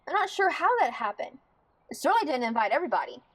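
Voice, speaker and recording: A woman's voice: high voice, very animated, fast, poor audio. A woman playing a blunt, practical young bride-to-be in an audio drama, wry and informal. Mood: reluctant, grumbling.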